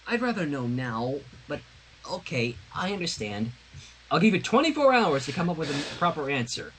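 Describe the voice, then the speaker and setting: A man reading the part of a shy, awkward, lovestruck teenage girl from a fan fiction, speaking haltingly and self-deprecatingly. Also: a little high, very animated, fairly bright tone, slight background noise, voice pushed down, poor audio. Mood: hurt, resigned.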